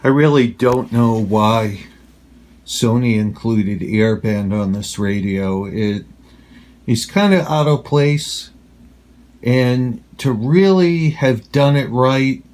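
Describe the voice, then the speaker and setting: A man talks through a casual hands-on demonstration. His delivery is slow and measured, with plain, deliberate phrasing at an ordinary middle pitch. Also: a little mellow. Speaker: radio hobbyist reviewer. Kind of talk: casual product demonstration and review.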